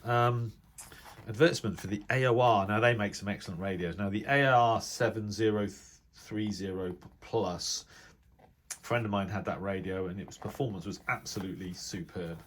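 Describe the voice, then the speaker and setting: A middle-aged man with a British accent talks casually and without a script as he presents a hobby video, hesitating and thinking aloud as he goes. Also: sits low. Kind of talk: casual unscripted commentary while browsing a reference book.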